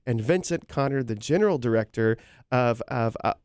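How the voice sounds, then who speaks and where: A man co-hosting a casual chat show, his voice hoarse from a cold, talking in a chatty, joking way with frequent fillers. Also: medium pitch, expressive, moderate pace, a little mellow, dry recording, studio quality. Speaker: co-host.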